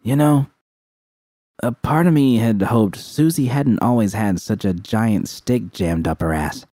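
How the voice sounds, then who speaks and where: A man plays a tough, foul-mouthed gunslinger woman in an audiobook, blunt but fond. Her teasing comes in a soft, mellow tone with a very dry, close sound. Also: fairly low pitch, measured pace, no room sound, natural voice. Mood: curious, sardonic. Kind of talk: audiobook character dialogue.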